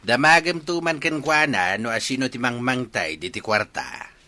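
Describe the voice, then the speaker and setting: A man with an Ilocano Filipino accent playing a gruff, bullying, mocking older man in a comedy radio drama. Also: medium pitch, animated, normal speed, pushed and bright, no room sound. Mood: scheming.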